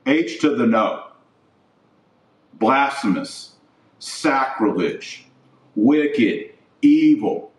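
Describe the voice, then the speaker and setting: A man's voice: medium pitch, expressive, very slow, long pauses, distant mic. A man giving opinionated religious commentary as a podcast host, indignant and preachy, leaning hard on stressed words. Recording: roomy echo, voice off the mic.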